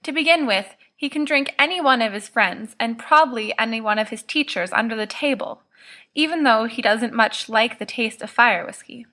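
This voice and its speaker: A woman narrates a story in a bright, crisp-edged tone. Her voice sits a little high, and she reads from a script as an audiobook narrator. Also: moderate pace. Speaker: audiobook reader.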